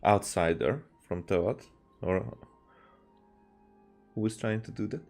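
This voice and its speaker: A man giving casual video game playthrough commentary, haltingly thinking aloud as he goes. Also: on the low side. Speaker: game streamer. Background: soft game music.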